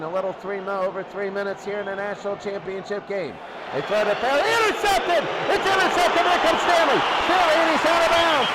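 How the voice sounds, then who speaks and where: A man doing live play-by-play radio commentary on a football game in short, clipped phrases. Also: high-pitched. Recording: loud crowd noise, noisy broadcast. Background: roaring stadium crowd.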